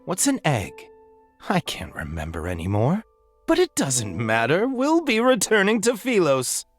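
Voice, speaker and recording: A woman's voice: low-pitched, wildly swooping pitch, a little slow, faint music behind, full character voice. A woman voicing a forgetful, devout and serene revenant pilgrim in a fantasy game, resigned and singsong. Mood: faithful, resigned.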